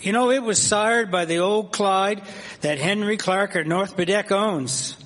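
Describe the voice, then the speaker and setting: A folksy 1870s farmer voiced by a man sizes things up in a high, unhurried voice. The radio drama has long echoey reverb. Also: fairly bright tone. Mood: admiring. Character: appraising 1870s livestock farmer named Oliver. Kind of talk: scripted period radio drama. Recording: echoey hall, faint background noise.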